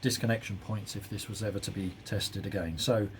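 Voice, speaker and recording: A man's voice: lowish voice, fairly even intonation, fairly quick, slight background noise. A man giving casual, hands-on workshop commentary in a matter-of-fact, explanatory way. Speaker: host, audio repair hobbyist or technician.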